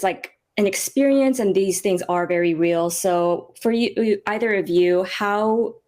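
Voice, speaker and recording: A woman's voice: mid-range voice, a little mellow. A woman moderating a casual panel, relaying audience questions in an informal, encouraging way.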